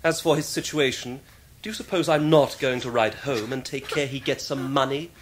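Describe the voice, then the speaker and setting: An actor playing an arrogant, sneering upper-class English teenage schoolboy in a period radio drama. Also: male voice, medium pitch, normal speed, close to an everyday voice, low-quality recording. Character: haughty, scornful upper-class schoolboy in his teens.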